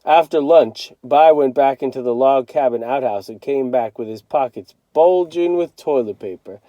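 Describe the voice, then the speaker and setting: A man reading a children's novel aloud as a teacher would, in an expressive storytelling style. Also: medium pitch, moderate pace, no echo, highs cut off.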